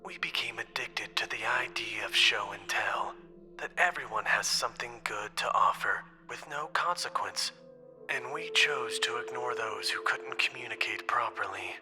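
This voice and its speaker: Solemn, isolated man male actor leaving a recorded farewell; measured, breathy drama monologue over clear music. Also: mid-range voice, sharp tone. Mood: regretful.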